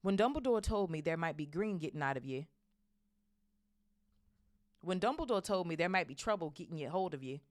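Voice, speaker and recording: A woman voicing a big, gruff, loyal and emotional male groundskeeper in a storybook, with a blustering, halting, folksy manner. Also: lowish voice, lively intonation, no room sound, studio quality, natural voice. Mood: anxious, halting.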